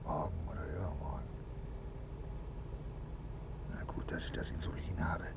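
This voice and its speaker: Gravelly, irritable, self-important bureaucrat. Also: male voice, extremely low pitch, steady tone, normal speed, in a whisper. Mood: weary muttering. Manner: clipped muttering soliloquy, peevish and officious. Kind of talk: 1950s German radio play acting. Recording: noisy vintage 1950s radio recording.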